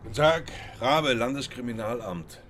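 An actor playing a methodical, polite police detective in a radio drama, matter-of-fact and probing. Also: male voice, mid-range voice, animated, moderate pace, fairly bright tone, a little hiss or hum, close to an everyday voice. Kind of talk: naturalistic radio drama acting.

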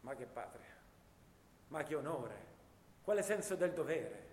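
An actor playing a disillusioned eighteen-year-old volunteer soldier of the First World War in a theatrical, declamatory monologue. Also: male voice, a little high, big pitch swings, a little slow, a little hiss or hum. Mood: bitter, disgusted. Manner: impassioned, rhythmic, declamatory, piling up lists. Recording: reverberant radio-theatre sound with guitar music.

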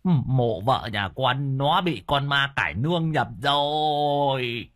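A man's voice: mid-range voice, lively intonation, takes it slowly, a bit bright, pitched above the performer's own voice. A man voicing a fearful, bumbling old village man who stammers. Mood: frightened.

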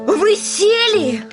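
An actress playing a passionate, indignant young widowed landowner in a theatrical, haughty manner in a classic radio play. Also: female voice, very high-pitched, full character voice. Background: music.

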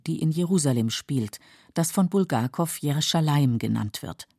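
A woman reading scripted documentary narration for a literary radio feature in a measured, factual, clearly articulated way. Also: low-pitched, warm tone, dry recording, clean studio sound.

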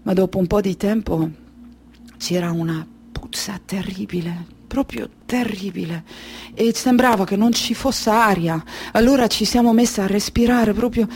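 A woman performing a theatrical monologue as a weary, haunted Kurdish refugee recounting her flight, speaking deliberately and emotively in short phrases with pauses. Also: mid-range voice, husky. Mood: tense recounting. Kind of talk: slow dramatic theatrical monologue.

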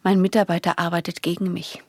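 Female radio-drama spy: hardened, condescending, insistent, creaky voice, close dry sound. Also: fairly low pitch, flat delivery, fast talker, a little mellow, no room sound. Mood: uneasy, secretive. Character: hardened female communist agent confiding in a naive young lover. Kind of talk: German radio play acting.

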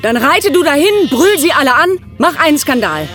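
Resolute wife, high urgent voice. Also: female voice, sing-song, moderate pace. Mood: firm reasoning. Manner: urgent, forceful, challenging. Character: herdsman's wife, resolute, pleading, sensible. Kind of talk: German radio play acting. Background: music and bleating sheep.

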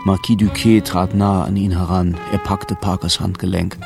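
A man narrating a crime tale in a deliberate, suspenseful, dramatic scripted style. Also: low voice, flat delivery, vocal fry. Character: grave narrator of a tense jazz crime tale. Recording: music playing behind the voice.

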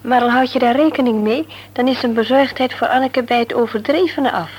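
An actress playing a worried, thoughtful mother in a Dutch radio play, speaking in a measured, explanatory way. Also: on the high side, a little mellow, husky. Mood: concerned, fond. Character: Els, worried mother and former nurse, thoughtful. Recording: humming, noisy radio play recording.

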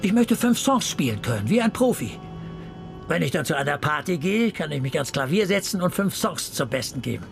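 A brash police detective in a radio crime play. His voice sits a little high, leaps around in pitch and crackles with fry. He needles and jokes with cynical, sardonic provocation. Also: sing-song, fairly quick, over music. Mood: chatty, brash. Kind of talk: German radio crime drama acting.

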